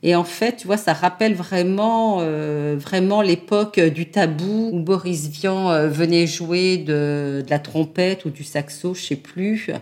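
Slightly low-voiced middle-aged woman reminiscing. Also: a little slow, few pauses, darkish tone. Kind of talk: casual reminiscing.

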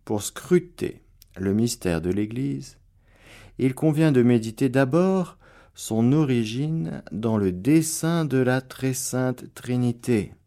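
Male priest, radio sermon: slow, measured delivery, mellow tone, bursts of fervor then quiet prayer. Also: mid-range voice. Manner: preacherly, swings between loud exclamations and hushed prayer. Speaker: priest giving catechesis on radio. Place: radio studio.

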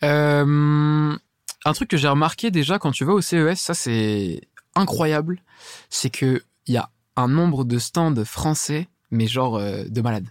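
A man's voice: a little high, unhurried, no echo, clean studio sound. A young man from France, a startup founder talking in a casual interview, enthusiastic and slangy with plenty of fillers.

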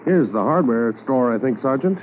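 An actor playing a keen, deferential Mountie corporal, the sergeant's sidekick, in a 1950s radio drama, informal and inquisitive. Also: male voice, medium pitch, expressive, normal speed, lightly acted. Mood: keen, polite. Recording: noisy, tinny old radio recording.

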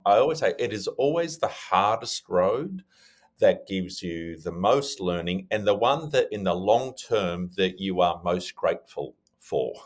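A male interview host with a low-pitched voice speaks conversationally. He is effusive and winds his way through his questions and reflections. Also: lively intonation, a little slow.

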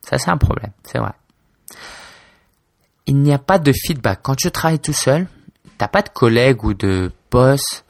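A man's voice: on the low side, dry recording, studio quality. A man in his twenties from France, a blogger talking casually and informally to his listener, hesitant, with many fillers and restarts. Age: 20s. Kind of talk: casual solo talk to camera.

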